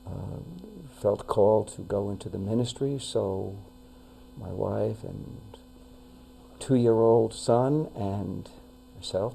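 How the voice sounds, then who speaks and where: An older man with a New York accent speaks as a guest on a local talk show, his voice low and heavily gravelly. He talks in a measured way, often pausing on fillers and restarting a phrase. A faint mains hum sits under the recording. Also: mellow, low-quality recording. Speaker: retired pastor, guest. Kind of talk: casual interview talk.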